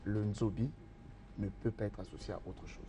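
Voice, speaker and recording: A man interviewing a spiritual master, asking calm, probing questions. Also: lowish voice, moderate pace.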